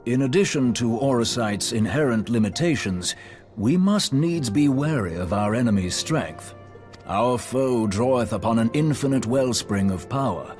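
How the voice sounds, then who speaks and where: An actor playing a grave, courteous scholar in a fantasy game, with ornate, archaic and solemn diction. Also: male voice, medium pitch, lively intonation, normal speed, music in the background, lightly acted. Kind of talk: scripted fantasy game dialogue.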